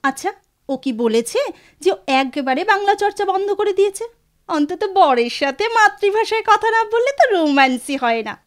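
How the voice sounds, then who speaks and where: Woman acting a very high, animated woman. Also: brisk. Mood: teasing reproach. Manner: exclamatory, playful chiding. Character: chatty, mock-jealous Bengali married woman, old college friend. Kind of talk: Bengali audio drama acting.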